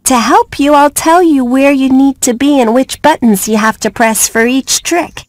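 An actress playing a friendly, upbeat little bird who gives encouraging instructions in an animated video game. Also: female voice, sits high, moderate pace, no echo, natural voice. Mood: cheerful, encouraging.

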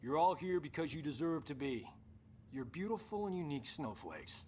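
An actor playing a cocky, wisecracking middle-aged fighter who leads a team in a video game cutscene. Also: male voice, a little high, expressive, normal speed, darkish tone, slight background noise, close to an everyday voice. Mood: wry, commanding. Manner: wry, sarcastic. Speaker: team leader.

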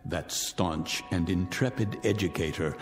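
Cold, mocking tycoon bent on revenge; low-pitched, reverberant hall with music. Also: male voice, a little slow. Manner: sardonic, icy taunting. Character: bitter vengeful male millionaire, cold and mocking. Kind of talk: radio drama acting.

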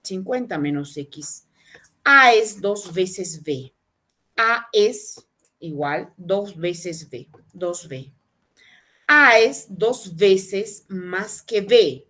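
A woman teaching math, explaining step by step and repeating each phrase slowly over a video call. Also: mid-range voice, fairly bright tone. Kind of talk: online class explanation. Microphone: webcam on video call.

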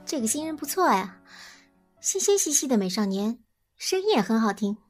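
Woman acting a bubbly, high, husky young agent. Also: sing-song, moderate pace, faint music behind. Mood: gushing. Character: bubbly, gushing young female talent agent. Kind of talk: Mandarin audio drama acting.

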